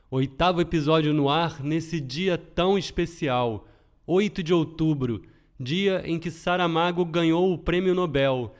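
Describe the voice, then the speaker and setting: A middle-aged man with a Brazilian accent reads his podcast script in a steady, earnest way. His voice sits a little higher than most men's, and he speaks close to the mic. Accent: Brazilian Portuguese. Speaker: podcast host.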